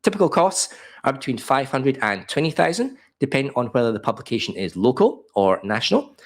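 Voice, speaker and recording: An Australian man with a cold and a croaky voice, presenting a beginner webinar in a chatty way with many ums and uhs. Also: medium pitch, big pitch swings, brisk. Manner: chatty, self-deprecating, frequent ums and uhs.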